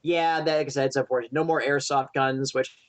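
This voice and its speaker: A man of average pitch talks casually as a podcast co-host. He moves haltingly, repeating and rewording phrases as he goes. The recording is very dry, with no room sound. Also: steady tone, dry recording.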